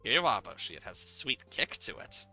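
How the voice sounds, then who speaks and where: A man's voice: mid-range voice, very animated, fairly quick, bright, forceful tone, faint music behind, exaggerated voice, low-quality recording. A man reading the lines of a friendly, inviting cider brewer from a farming video game. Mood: welcoming. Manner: neighbourly, inviting.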